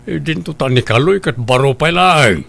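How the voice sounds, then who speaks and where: A man's voice: fairly high pitch, expressive, normal speed, creaky, a bit bright, exaggerated voice. A man acting a scheming, grasping husband in an Ilocano radio drama, goading and argumentative.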